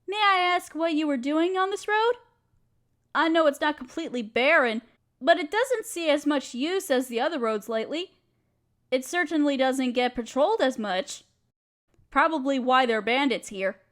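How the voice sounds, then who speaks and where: A gentle, doting centaur woman, as a woman acts her out speaks in a high voice with a soft Southern lilt. She fusses over wounds and drifts into apologetic little rambles. It's a fantasy audio roleplay. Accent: Southern US, put on. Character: kind gentle female centaur traveler and healer. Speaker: caretaker tending wounds.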